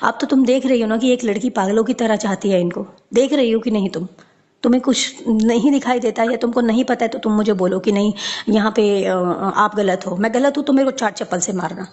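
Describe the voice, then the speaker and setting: A woman's voice: fairly high pitch, fairly quick, a little mellow, lo-fi sound. A woman in an emotional personal conversation, arguing in a pleading, insistent way and repeating herself. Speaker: participant in a three-way personal phone argument.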